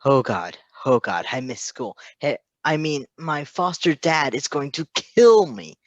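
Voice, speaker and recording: A man's voice: fairly high pitch, animated, unhurried, no echo, dull audio, pitched above the performer's own voice. Frightened teenage male vigilante acted by a man: panicky, vulnerable, rambling, storybook performance, close dry recording. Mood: panicked.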